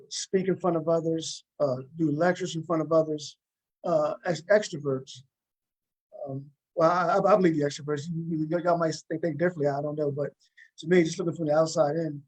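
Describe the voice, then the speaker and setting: A man's voice: on the high side, darkish tone, poor audio. A man interviewing guests casually, repeating words and rephrasing his questions as he feels his way along.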